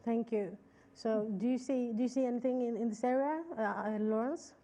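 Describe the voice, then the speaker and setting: A woman's voice: a little high, moderate pace, mellow. A woman moderating a stage conversation, politely and formally introducing the guests. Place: stage at a conference.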